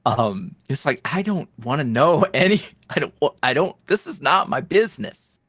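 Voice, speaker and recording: A man's voice: a little high, big pitch swings, normal speed, a bit bright, no room sound. A man being interviewed as a guest, chatting casually and telling rambling stories with frequent laughs. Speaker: ASMR roleplay creator, podcast guest.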